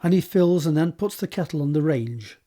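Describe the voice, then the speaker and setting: Husky higher-pitched male narrator. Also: lively intonation, fairly quick, mellow. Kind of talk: scripted narration of stage directions.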